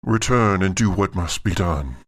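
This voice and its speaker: A man voicing a solemn otherworldly angel in a tabletop game, speaking in archaic, formal pronouncements with grave authority and a low, gravelly voice. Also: animated, measured pace.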